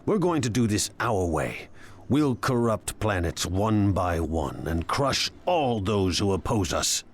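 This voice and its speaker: An actor playing a proud, ruthless crime lord in a video game, with an imperious, deliberate, menacing delivery. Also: male voice, on the low side, big pitch swings, a little hiss or hum, exaggerated voice. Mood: cold resolve. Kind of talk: dramatic video game acting.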